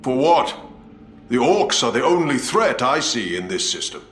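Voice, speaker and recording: A man's voice: medium pitch, big pitch swings, unhurried, a bit bright. An actor playing a proud, duty-bound male warrior commander in a game, speaking formally and firmly in defiance. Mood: defiant. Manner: formal, firm, challenging. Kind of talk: dramatic game cutscene acting. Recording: echoey, with music behind.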